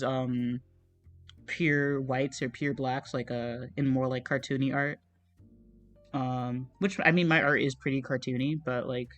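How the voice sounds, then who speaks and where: A young man streaming as he draws, chatting casually in a meandering, soft, self-deprecating way, with plenty of fillers and trailing thoughts. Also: medium pitch. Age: young adult. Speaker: art streamer. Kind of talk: casual chat while drawing on a livestream.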